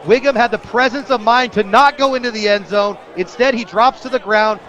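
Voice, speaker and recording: A male American radio announcer calls a football game live with excited emphasis. His voice sits high for a man. A stadium crowd is clearly audible behind him. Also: fairly quick, highs cut off. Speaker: play-by-play sports announcer.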